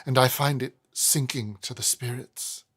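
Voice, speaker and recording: A man's voice: mid-range voice, measured pace, fairly bright tone, husky. A man voicing a timid, nervous middle-aged stationer with a fussy, hesitant manner. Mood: fussy, uneasy.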